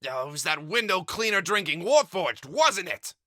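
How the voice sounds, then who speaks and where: Man putting on a kobold father, small, grumpy, high-pitched, shrill, animated, dry close sound. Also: normal speed, no echo, heavily acted. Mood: scolding. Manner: high, shouting scolding. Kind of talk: voice acting demo.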